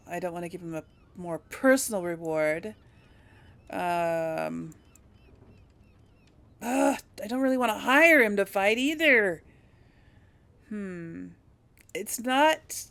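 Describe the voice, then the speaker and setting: A woman giving casual role-play video game commentary, thinking aloud in a hesitant, conversational way. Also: mid-range voice. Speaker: gaming video host and player.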